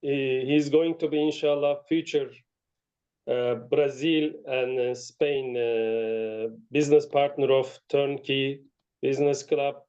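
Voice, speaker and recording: Guy hosting a video call, smooth voice, kinda muffled sound. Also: medium pitch, fairly even intonation, warm tone. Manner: brisk, introduces speakers. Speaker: meeting host. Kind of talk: hosting online networking meeting.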